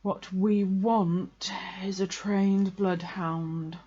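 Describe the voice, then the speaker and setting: A woman voicing a gloomy, self-pitying male donkey in a slow, melancholy, drawn-out storybook delivery. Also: mid-range voice, fairly even intonation, warm tone, breathy edge, highs cut off. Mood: gloomy, resigned. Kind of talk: children's storybook character reading.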